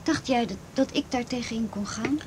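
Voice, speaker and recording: An anxious teenage girl in a radio play, played by an actress. Her voice is fairly high and halting, repeating words in a guarded, resigned way, with clear noise behind it. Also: lightly acted. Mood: bitter, resigned. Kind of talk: Dutch radio play acting.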